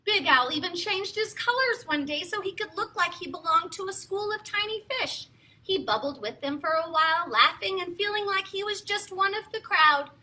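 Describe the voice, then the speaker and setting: Very high, bright woman reading to children. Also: normal speed, a little hiss or hum. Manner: exaggerated, playful, expressive delivery. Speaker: children's storytime host and reader. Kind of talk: theatrical read-aloud storytelling for children.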